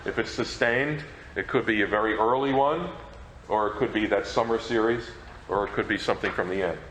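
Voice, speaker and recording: Lowish male presenter, echoey room. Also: brisk, fairly bright tone. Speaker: panel presenter, radio program collector. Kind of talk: informal explaining in a question-and-answer session. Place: echoey convention meeting room. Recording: roomy echo with faint noise.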